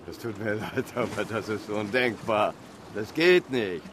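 A gruff, practical harbour pilot, as a man plays him in a radio play. He talks bluntly and warily, with clear noise from the harbour and the water running behind him. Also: on the low side, unhurried, natural voice. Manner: blunt, down-to-earth, wary.

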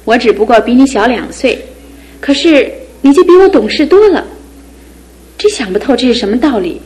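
An actress playing a lively young woman, the groom's younger sister, speaking in a bright, teasing way. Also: fairly high pitch, moderate pace, low-quality recording. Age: young adult. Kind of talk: 1970s radio drama acting.

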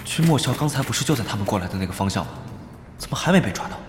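A man's voice: fairly low pitch, expressive, racing, close to an everyday voice. A man voice actor playing a wary, grumbling young lone gamer in an audio drama, with muttered asides and a Mainland Mandarin accent. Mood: wary, annoyed. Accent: Mainland Chinese Mandarin. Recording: music behind the voice.

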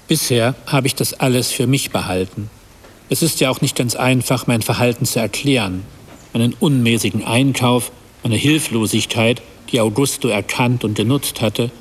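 A man's voice: mid-range voice, slightly rough, lightly acted. An actor playing a troubled husband and father, narrating a radio play as a reflective, self-reproachful confession. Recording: long reverb, faint background noise.

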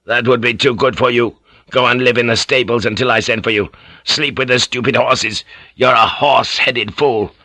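A man's voice: mid-range voice, fairly even intonation, normal speed, pushed and bright, dry recording, voice pushed up. A man voicing a hot-tempered Japanese feudal lord who speaks in a scornful, cutting way. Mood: furious, contemptuous.

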